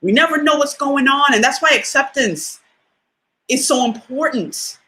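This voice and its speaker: Lively deep-voiced woman speaker. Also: normal speed. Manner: emphatic, repeats key phrases for effect; conversational, often asks "you know what I mean?". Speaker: motivational speaker. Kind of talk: motivational talk.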